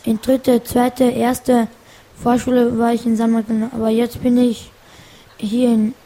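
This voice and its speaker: A schoolchild from Afghanistan whose first language is Farsi, answering a radio host's questions. The voice is fairly high and mellow. She hesitates and searches for words as she speaks. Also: flat delivery, measured pace, rough recording. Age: child. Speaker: studio guest.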